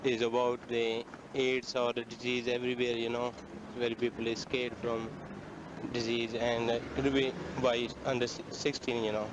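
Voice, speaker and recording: A man giving halting answers in an old street interview, often stalling and restarting. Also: mid-range voice, flat delivery, dull audio, noisy background. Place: outdoors on a street. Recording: old archive clip outdoors.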